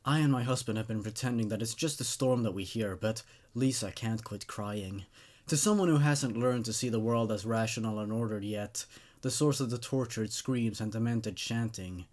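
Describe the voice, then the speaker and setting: Man reading as low, somber horror story narrator. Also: natural voice. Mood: grave, fearful.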